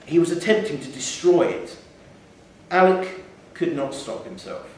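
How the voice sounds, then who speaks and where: Male narrator, wry and measured, reading live fiction, heavily echoing room, mic at a distance. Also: a little high, a little mellow. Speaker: short story reader. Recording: echoey theatre hall, distant mic, faint noise.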